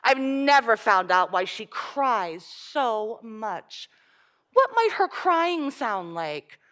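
A woman's voice: fairly high pitch, big pitch swings, slow, a bit bright, exaggerated voice. A woman voicing a chirpy teeny weeny elf. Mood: cheerful. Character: tiny cheerful male forest elf.

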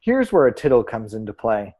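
A man playing a measured, analytical co-host of a theatre prediction show, trading scripted podcast commentary. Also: fairly low pitch, very animated, darkish tone. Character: measured, analytical co-host giving casting odds.

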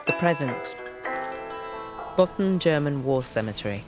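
A woman reading scripted narration as an announcer, in a measured, formal manner. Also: low-pitched, lively intonation. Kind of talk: scripted documentary-style narration. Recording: loud plucked-string music behind voice. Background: plucked guitar music.

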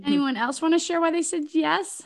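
A woman's voice: very high voice, steady tone, fairly quick, creaky. A woman hosting a casual book club panel, chatty, laughing and swearing freely. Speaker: podcast host.

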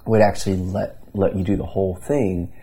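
A man in his thirties from Indiana speaks as a pastor being interviewed. His voice sits low with a gravelly edge and a husky, mellow tone. He talks earnestly, restarting his sentences often. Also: little pitch movement, a little fast. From Indiana, USA. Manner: earnest, many restarts and fillers. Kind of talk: conversational interview storytelling.

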